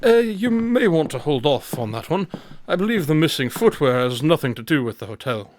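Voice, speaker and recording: An actor playing a shrewd, composed detective in an audio drama, speaking in a measured, analytical and assured way. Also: male voice, fairly high pitch, sing-song, a little mellow, slight background noise. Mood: probing, composed.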